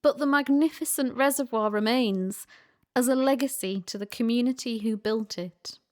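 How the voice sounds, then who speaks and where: A woman's voice: on the high side, moderate pace, natural voice. An actress in a community radio play, playing a young woman who joins a paranormal investigation and tends to ramble eagerly.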